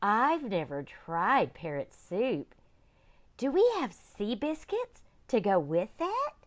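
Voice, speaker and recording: A woman voicing a hungry pirate sailor who drags out words with greedy delight. The voice sits higher than her own and drawls slowly. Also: big pitch swings. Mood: eager. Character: hungry, eager pirate crew member.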